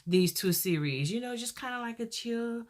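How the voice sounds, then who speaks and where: A young woman hosting her own podcast with a soft voice, talking casually and earnestly, rambling and pausing as she goes. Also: a little high, lively intonation. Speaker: podcast host.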